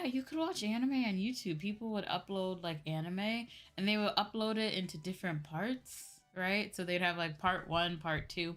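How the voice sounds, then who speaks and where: A young adult woman streamer chatting casually in a conversational way, often checking in with the listener. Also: medium pitch. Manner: conversational, often checks with "right?" and "you know". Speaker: VTuber streamer. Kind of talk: casual livestream chat. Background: background music.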